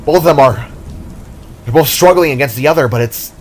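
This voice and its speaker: An anxious winged hero from a fantasy story, voiced by a man. He speaks with halting, earnest urgency in a dramatic audiobook manner. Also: on the high side, a little hiss or hum, pitched above the performer's own voice, close to an everyday voice. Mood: alarmed, uneasy. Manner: halting, stuttering when worried. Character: anxious winged spark hero, male, stammering with dread. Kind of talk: dramatic story character reading.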